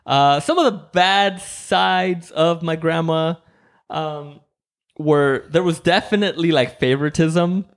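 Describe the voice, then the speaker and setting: A man from Mexico hosting a podcast, chatting casually and rambling with many "like"s and animated quoted voices. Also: on the high side, takes it slowly. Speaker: podcast host. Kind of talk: casual chat.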